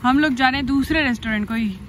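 Young woman doing a chatty travel vlog, high voice, busy crowd noise behind her. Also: fast. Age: young adult. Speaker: vlogger, host. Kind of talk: casual travel vlog chat to camera. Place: busy restaurant, street and hotel grounds. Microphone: phone at arm's length. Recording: noisy outdoor and restaurant crowd background.

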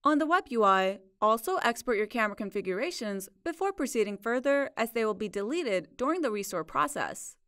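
A woman narrating a scripted software tutorial in a measured, instructional way. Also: a little high, expressive, no echo, studio quality. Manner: clear, measured instructional delivery. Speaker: support video narrator.

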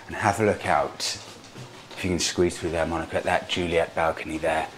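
A man's voice: low-pitched, level tone, normal speed, a little hiss or hum. A man in his thirties giving a chatty, enthusiastic tour of a small hotel room, recorded on a lapel mic. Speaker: travel vlogger. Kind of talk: casual travel vlog narration.

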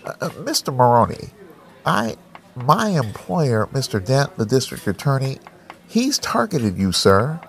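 A man's voice: mid-range voice, big pitch swings, a little slow, gravelly. A man playing a timid, servile informant who stammers deferentially in a comic crime drama. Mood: nervous, fawning.

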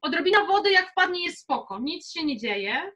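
A young woman speaks in a very high, bright voice. She is informal and chatty as she instructs a live cooking class from her home kitchen, encouraging people along as she goes. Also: big pitch swings, moderate pace. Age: young adult. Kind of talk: casual live cooking workshop.

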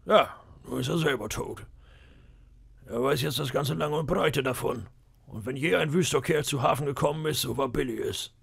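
A man voicing a blunt, rough pirate sailor in an audiobook, gruff in manner. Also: on the high side, moderate pace, full character voice. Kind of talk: audiobook character voice.

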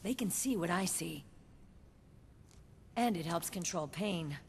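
A woman's voice: fairly low pitch, expressive, slow, gravelly, a little mellow, slight background noise, full character voice. An actress playing a confident, stern young female squad commander in a video game, with a firm, assured delivery. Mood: proud. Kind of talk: video game cutscene acting.

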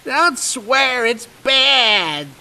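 A man's voice: extremely high pitch, expressive, very slow, sharp tone, voice pushed up, full character voice, low-quality recording. A man voicing a stubborn, petulant young boy in a horror story reading, whining and drawing out his words. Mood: sulky. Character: whiny, stubborn young boy demanding food and sweets.

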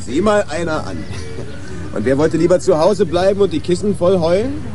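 An actor playing a brisk, teasing brother in a German radio crime drama, with lively, talkative delivery. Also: male voice, sits high, loud music behind the voice.

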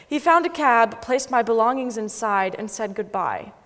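A woman's voice: fairly high pitch, animated, moderate pace, a bit bright. Young woman reading aloud in a reverberant hall. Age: young adult. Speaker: dramatic reader. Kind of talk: dramatic reading of a memoir. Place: large wood-panelled concert hall stage, seated. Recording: concert hall stage with long reverb.

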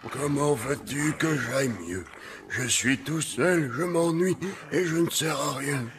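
A man's voice: fairly high pitch, measured pace, noisy background, natural voice. A man voicing a lonely, gloomy old farm machine in a children's cartoon, with a mournful, sighing delivery. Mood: glum.

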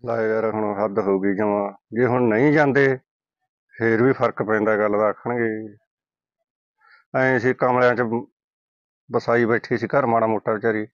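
A man's voice: lowish voice, fairly even intonation, moderate pace, long pauses, no room sound, lo-fi sound, lightly acted. A man acting a plain-spoken villager who helps his relatives, with a Punjabi accent, in a conversational family drama. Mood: musing.

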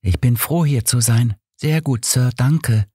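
A man acts out a meek young workhouse girl in a storybook. She answers dutifully and timidly in short polite phrases, pitched up. The sound is dry and close. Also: unhurried, mellow, breathy edge, dry recording.

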